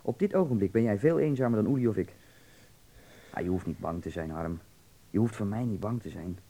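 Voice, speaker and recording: A man's voice: lowish voice, lively intonation, a little fast, gravelly, dark tone. An actor playing a worldly young man from Berlin in a radio drama, candid and insistent yet reassuring, explaining patiently and earnestly.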